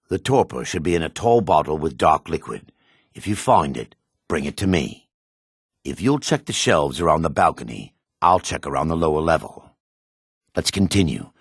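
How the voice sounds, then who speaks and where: Gravelly, low-pitched dark elf priest. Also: male voice, dry recording, studio quality, full character voice. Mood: solemn, patient. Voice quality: gravelly, husky. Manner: solemn, formal, deliberate priestly phrasing. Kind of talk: video game character acting.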